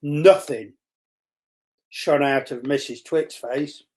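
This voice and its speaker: A woman reading a children's storybook aloud in an expressive narrating style. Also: a bass voice, slow pace, slight background noise. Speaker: school staff member reading aloud.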